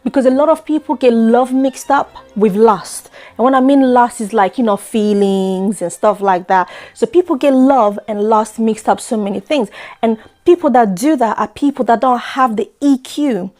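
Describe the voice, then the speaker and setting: A woman's voice: on the high side, moderate pace, darkish tone. Woman giving an earnest monologue. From Democratic Republic of Congo. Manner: earnest, repeats words, frequent "you know". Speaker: life coach and show host. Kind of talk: talk-show monologue.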